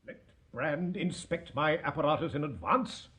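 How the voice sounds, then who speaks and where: An actor playing a curt, defensive Victorian gentleman father in a radio drama. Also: fairly high pitch, normal speed.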